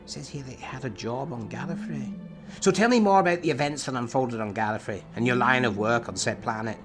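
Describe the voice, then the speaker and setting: A man playing a probing, enigmatic therapist in an audio drama, speaking in a measured, formal, analytical way. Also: mid-range voice, natural voice. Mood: intrigued, uneasy. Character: probing, enigmatic male therapist to an alien patient. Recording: music under the voice.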